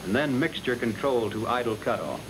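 A man's voice: mid-range voice, moderate pace. An American man reading a scripted 1940s instructional film narration, addressing the trainee directly in an instructive manner. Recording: noisy old 1940s film soundtrack.

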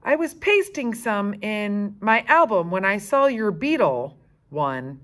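A woman's voice: medium pitch, big pitch swings, slow pace, fairly bright tone. A woman voicing an eager, matter-of-fact young schoolboy in a storybook, explaining things plainly.

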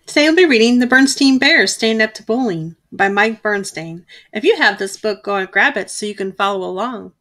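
A young adult woman giving a lively, expressive read-aloud of a children's storybook. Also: medium pitch, brisk, hardly any pauses. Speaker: children's book reader.

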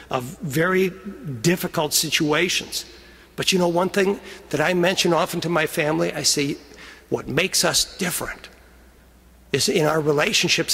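High-pitched American priest preaching in reverberant chapel. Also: male voice, creaky, a bit bright, slightly rough. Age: middle-aged. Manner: earnest, emphatic with drawn-out key phrases. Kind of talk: homily, telling stories and exhorting. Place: chapel pulpit, indoors. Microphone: gooseneck lectern microphone.

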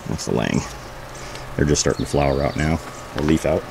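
A man's voice: very deep voice, brisk. A man giving a casual, unscripted walk-through commentary outdoors, informally pointing things out as a vlog host. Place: outdoors in a backyard garden. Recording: outdoor camera audio with clear background noise.

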